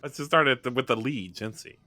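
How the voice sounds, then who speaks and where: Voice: mid-range voice, brisk, sharp tone, no room sound. A tabletop player chatting casually and explaining the rules of the game. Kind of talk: casual chat.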